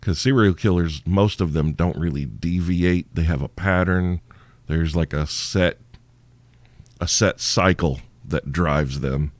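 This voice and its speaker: A man with a very low voice riffs through listener questions, crude and irreverent, rambling from one joke to the next. He sounds sleepy and worn out. The recording is dry and close, with no room sound. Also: a little slow, no echo. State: sleepy, tired. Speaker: podcast host. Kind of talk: crude solo Q&A podcast.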